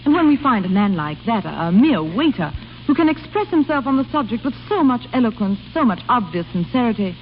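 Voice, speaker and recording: An actress playing a haughty, imperious grand duchess in a vintage radio comedy, with regal, commanding formality. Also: female voice, on the high side, very animated, a little fast, soft background music. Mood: regal, decided. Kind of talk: 1930s-40s radio comedy acting. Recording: dull, noisy old radio broadcast.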